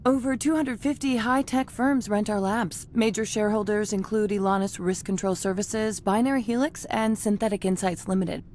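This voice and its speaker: A woman's voice: mid-range voice, steady tone, moderate pace, few pauses, a little mellow, slight background noise, lightly acted. Woman as a polite, efficient receptionist. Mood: polite, professional. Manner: polite, informative, businesslike. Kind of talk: video game dialogue.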